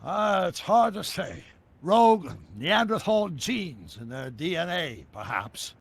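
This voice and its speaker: Gravelly, high-pitched eccentric old scientist. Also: male voice, very animated, slow, no echo, full character voice. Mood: evasive. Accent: American. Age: older. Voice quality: gravelly, husky. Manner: theatrical, wordy, precise scientific explaining. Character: eccentric older male scientist inventor, excitable and secretive. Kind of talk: scripted video game dialogue.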